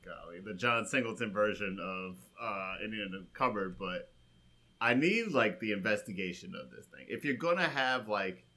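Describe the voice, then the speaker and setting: Bright, low-pitched man giving emphatic opinions. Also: expressive, moderate pace. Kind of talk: casual opinionated film discussion.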